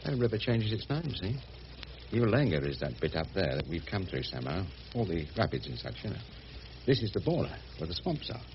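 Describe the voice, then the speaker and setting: A dull radio drama recording with clear noise behind it carries a rough, good-hearted boatman. He has a very low voice and a working-class English accent. His talk is colloquial and excitable, that of a plain working man. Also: a little fast. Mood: tender, chatty. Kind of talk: BBC radio drama acting.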